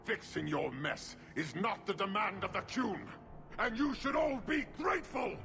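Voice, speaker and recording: Big horned commander in a video game, super gravelly, stern, bossy clipped lines, very dynamic pitch. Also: male voice, expressive, normal speed, sharp tone, faint music behind, full character voice. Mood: scornful anger. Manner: imperious, clipped declarations. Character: stern Qunari commander, large horned warlord, contemptuous and proud. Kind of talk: dramatic video game acting.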